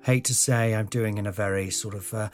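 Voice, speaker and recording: A man hosting a solo podcast in a room of an old house, slightly croaky, talking in a meandering, self-deprecating way with some swearing, into a mic without a pop shield. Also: on the low side, fairly even intonation, fairly quick, darkish tone, soft background music. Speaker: podcast host. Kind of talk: rambling solo podcast monologue. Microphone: home podcast mic without a pop shield.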